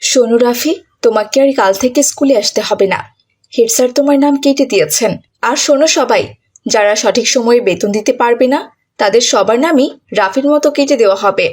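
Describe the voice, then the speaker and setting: Woman as a stern, husky schoolteacher. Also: fairly high pitch, a little mellow. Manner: brisk, reproving. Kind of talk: children's moral cartoon dub.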